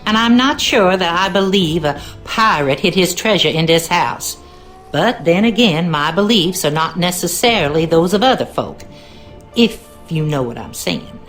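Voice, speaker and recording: A woman's voice: lowish voice, expressive, normal speed, a bit bright, faint music behind. An actress playing a wry, superstitious cleaning woman in a video game, with a Southern US accent and a knowing, ominous way of talking. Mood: knowing, conspiratorial. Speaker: game character, cleaning lady. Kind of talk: video game character dialogue.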